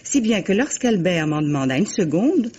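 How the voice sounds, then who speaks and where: A dull vintage radio recording of a composed, clever wife. She sounds low and husky for a woman, articulate and gently ironic in 1950s mystery-drama style. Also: very animated, moderate pace. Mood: explaining. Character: composed, clever wife who survived her poisoner.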